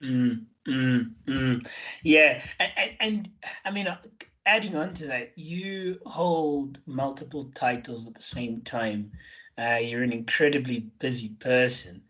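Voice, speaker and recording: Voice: mid-range voice, a little slow, a bit bright, lo-fi sound. A podcast host who talks in an effusive way, full of fillers and repeated words. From Johannesburg, South Africa. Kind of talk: interview hosting.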